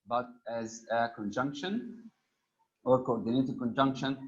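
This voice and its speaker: A man teaching a grammar lesson, reading example sentences slowly, stressing each item and pausing on frequent "uh" fillers. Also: medium pitch, fairly bright tone. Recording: dull lo-fi recording.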